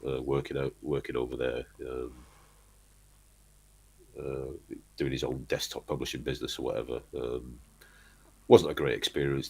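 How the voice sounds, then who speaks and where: Very deep, husky man telling stories. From Manchester, England. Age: middle-aged. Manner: rambling storyteller, restarts often, chuckles. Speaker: guest, band singer. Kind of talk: casual interview chat.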